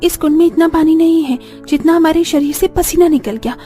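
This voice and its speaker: A woman's voice: extremely high pitch, a little fast, dark tone, soft background music. A woman voicing a weary, discouraged young village farm wife in a cartoon story, lamenting in a plaintive way. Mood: weary, complaining. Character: weary, discouraged young farming daughter-in-law in a poor village.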